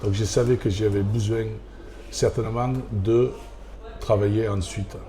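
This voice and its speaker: Australian man speaking halting French. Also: fairly low pitch, fairly even intonation, warm tone, a little hiss or hum. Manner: halting French, restarts often. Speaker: restaurant owner, former rugby player. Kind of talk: interview answers.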